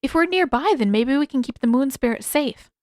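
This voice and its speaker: An earnest young boy voiced by a woman narrator, high in pitch and full of curiosity. He talks eagerly and insistently, in storybook style, with no room sound. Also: lively intonation, brisk, a little mellow, no echo, studio quality.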